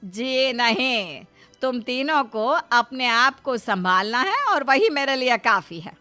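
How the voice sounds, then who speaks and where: Woman acting a high-voiced, scolding Indian family woman. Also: lively intonation, takes it slowly, bright, forceful tone, soft background music, exaggerated voice. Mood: stern. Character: bickering, sarcastic daughters-in-law and scolding mother-in-law. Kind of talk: Hindi cartoon family story acting.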